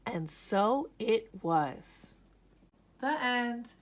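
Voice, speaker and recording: A woman narrating a children's story aloud with expressive, dramatic emphasis. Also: fairly high pitch. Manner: slow, animated, dramatic emphasis.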